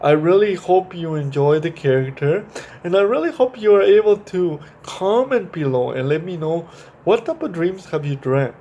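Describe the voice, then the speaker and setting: A man hosting a children's storytime, reading and chatting to young kids in a halting, repetitive way with simple explanations. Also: sits high, lively intonation, mellow. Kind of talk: reading a picture book and talking to young children.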